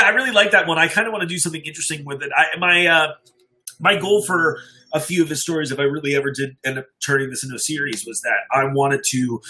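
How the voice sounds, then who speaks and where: A man's voice: on the high side, bright, forceful tone, rough recording. A man hosting a livestream in a casual, informal, chatty way, talking appreciatively with his viewers. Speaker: livestream host and story reader.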